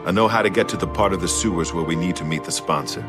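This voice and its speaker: A man as a deep, resolute secret agent. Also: quick pace, loud music behind the voice, close to an everyday voice. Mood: determined. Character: gruff, resolute male imperial secret agent, seasoned warrior. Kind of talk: video game dialogue acting.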